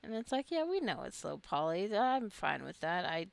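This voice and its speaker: A woman's voice: on the low side, sing-song, normal speed, vocal fry, no echo. A woman giving casual gameplay commentary, rambling and thinking aloud as she plays. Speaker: gamer narrating her own gameplay.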